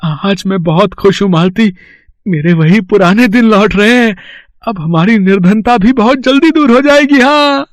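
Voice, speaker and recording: High-pitched melodramatic merchant husband, acted by a man. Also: normal speed, no room sound, clean studio sound, natural voice. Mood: delighted. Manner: melodramatic, emphatic. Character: excitable greedy merchant husband, prone to despair.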